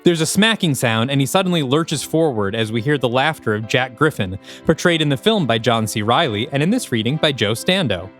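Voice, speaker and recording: A man reading scripted screenplay narration for an audio drama in an animated, descriptive manner. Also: medium pitch, brisk, few pauses, faint music behind.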